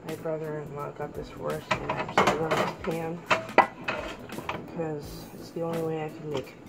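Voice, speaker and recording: Woman in her thirties, on the low side with some fry, a little hoarse from a cold, chatting while she cooks on a kitchen-counter camera. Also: completely flat delivery, moderate pace, heavy background noise. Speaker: vlogger. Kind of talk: casual home cooking vlog. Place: small home kitchen.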